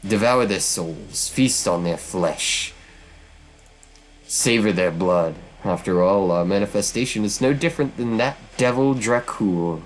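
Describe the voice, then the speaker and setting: A man's voice: fairly low pitch, a little hiss or hum, low-quality recording. A man voicing a vengeful witch woman from hell, speaking in a theatrical, formal and taunting way. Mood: cold, commanding.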